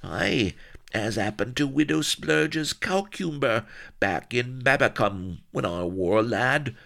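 A man's voice: mid-range voice, expressive, slow pace, creaky, a bit bright, pitched above the performer's own voice, heavily acted. A man voicing a crazed old castaway gardener with a West Country accent, rambling and whining in rustic dialect in an audiobook. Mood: rambling, nostalgic. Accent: West Country English.

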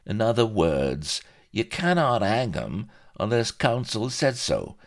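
A tiny Welsh pirate lays down the rules with prim, officious insistence. His voice is pitched up and swings widely, in the manner of a fussy shop steward in a comic storybook. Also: wildly swooping pitch, takes it slowly, heavily acted. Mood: insistent, passionate. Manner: officious, argumentative, rule-quoting.

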